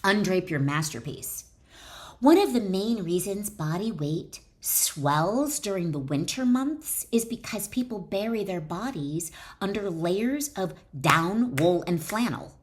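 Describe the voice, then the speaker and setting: A woman's voice: mid-range voice, lively intonation, unhurried. A woman reading her own wellness book aloud in an emphatic, instructional way, often breaking off into chatty asides. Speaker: author and wellness educator.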